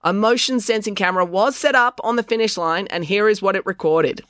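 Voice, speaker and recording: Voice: medium pitch, a little fast, a bit bright, no echo, studio quality, voice pushed up, close to an everyday voice. A narrator voicing a pedantic, officious boy who declares things precisely in a storybook. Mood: pedantic, official.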